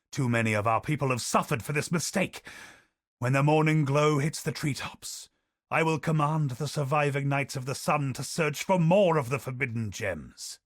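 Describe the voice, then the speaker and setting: An actor voicing a solemn male chronicler in a game, telling ancient history in a grave, measured way. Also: medium pitch, a bit bright, no room sound, clean studio sound. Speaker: game character narrator. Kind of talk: scripted lore narration.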